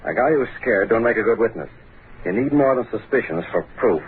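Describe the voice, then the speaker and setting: Brief: male actor, smug protection-racket boss, lowish voice, slangy, vintage radio drama on a narrow, noisy recording. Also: expressive, brisk, natural voice. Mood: smug, menacing. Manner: slangy, ungrammatical tough talk. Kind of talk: 1930s-40s radio crime drama acting.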